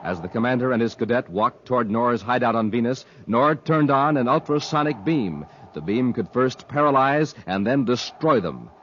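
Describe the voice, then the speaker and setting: A man's voice: mid-range voice, lively intonation, normal speed, hardly any pauses, a bit bright. An American man announcing a 1950s radio serial in an emphatic, punchy, dramatic style. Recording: muffled, hissy old 1950s radio recording.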